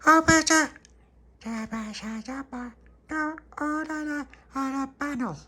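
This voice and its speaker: Brief: man doing a confused babbling toddler, very high. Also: slow pace, pushed and bright, exaggerated voice.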